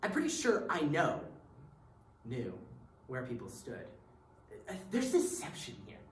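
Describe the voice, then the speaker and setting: A woman's voice: low voice, wildly swooping pitch, unhurried, full character voice. A woman acting in a readers theatre piece with rehearsed, theatrical delivery. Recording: roomy stage recording with background hiss.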